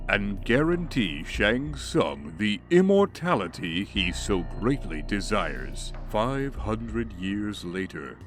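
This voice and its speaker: A man reading dramatic scripted narration in a grave, deliberate way. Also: lowish voice.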